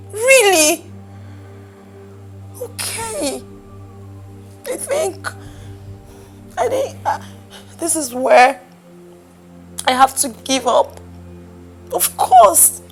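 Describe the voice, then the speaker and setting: A fiery, wounded Nigerian woman in a melodramatic film. Her voice is very high and swoops widely, loud and confrontational as she repeats herself. She takes her time, with music behind her. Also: heavily acted.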